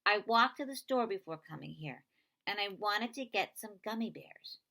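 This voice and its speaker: A woman's voice: medium pitch, animated, moderate pace, gravelly, sharp tone, voice pushed up. A woman reading a children's novel aloud, voicing an upset, bitter boy who speaks in exasperated, insistent outbursts. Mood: agitated, despairing.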